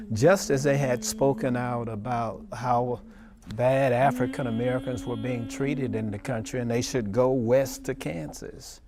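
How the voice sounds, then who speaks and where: A man giving explanatory commentary as an expert in a documentary interview. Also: mid-range voice.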